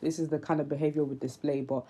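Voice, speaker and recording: A woman's voice: deep voice, level tone, fast talker, mellow. Young woman with a Nigerian-British accent. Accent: mixed Nigerian and British. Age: 20s. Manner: earnest, candid, many fillers and restarts. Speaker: YouTuber. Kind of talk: opinion vlog to camera.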